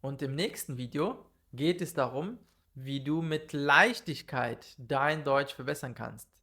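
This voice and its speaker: A man teaching German in a calm, methodical monologue, speaking slowly and clearly for learners. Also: medium pitch. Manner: calm, methodical, lists numbered tips.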